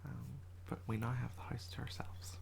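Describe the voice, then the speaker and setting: An ASMR creator in a quiet house, whispering a soft, rambling show and tell and often correcting themselves, voice a little rough from a cold. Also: medium pitch, completely flat delivery, normal speed. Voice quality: whispered, soft-spoken delivery. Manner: rambling, self-correcting, chatty.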